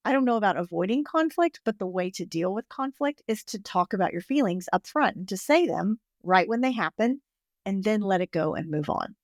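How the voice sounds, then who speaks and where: A woman relationship therapist giving calm, practical explanations in a podcast interview. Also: mid-range voice, no room sound, studio quality.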